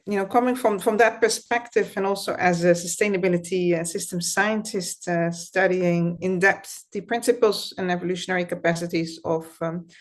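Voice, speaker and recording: A middle-aged woman with a Dutch accent answers interview questions over a video call. Her voice sits a little low, and she talks in a thoughtful, reflective way, laughing softly now and then. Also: fairly even intonation, moderate pace, hardly any pauses. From Netherlands. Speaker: guest, founder and system scientist. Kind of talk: conversational interview.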